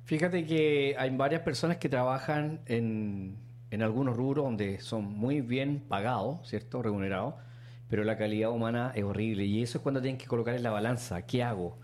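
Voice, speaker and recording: A middle-aged man with a Chilean accent, a radio host chatting casually and telling a personal story. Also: normal speed. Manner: storytelling, acts out quotes with animated pitch jumps.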